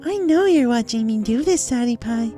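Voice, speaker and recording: A very high, giddy young female pony, acted by a woman in a fan fiction reading, childishly cheerful with a murderous menace. The delivery is sing-song and manic, with music playing behind it. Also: expressive, moderate pace, warm tone, lightly acted. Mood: sinister, gleeful.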